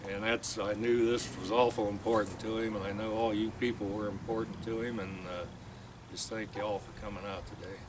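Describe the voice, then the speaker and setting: A man giving a short, halting speech outdoors about a late relative. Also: lowish voice, little pitch movement, distant mic, noisy background. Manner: halting, with fillers. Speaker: family member remembering a friend. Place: outdoors at a radio club site.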